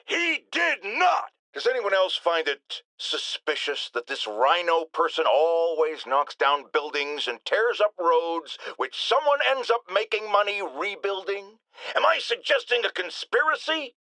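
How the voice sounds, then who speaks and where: A man's voice: high voice, sing-song, measured pace, no room sound, exaggerated voice, low-quality recording. An actor playing a blustering, self-righteous middle-aged American man, a pompous talk radio host who delivers theatrical, indignant rants in a video game. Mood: outraged. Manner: pompous, emphatic, theatrical, swinging into outraged shouts. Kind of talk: bombastic talk-radio monologue.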